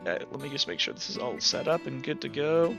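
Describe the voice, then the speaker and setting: A man's voice: a little high, very animated, fast talker, vocal fry, dull audio, music in the background. A young man running a tabletop roleplay game as game master, talking casually with many fillers and restarts.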